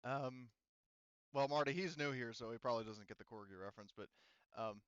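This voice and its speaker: Man, game master, smooth voice, rambling casual talk, dry close sound, slightly muffled voice chat. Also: medium pitch, a little fast, fairly bright tone, dry recording. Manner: rambling, self-correcting. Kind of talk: casual online tabletop game running.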